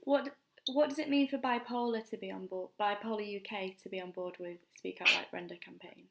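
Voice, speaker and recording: A woman asks an interview guest plain, direct questions. Her voice sits at an ordinary pitch, with a gravelly fry running through it. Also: fairly even intonation, a little fast.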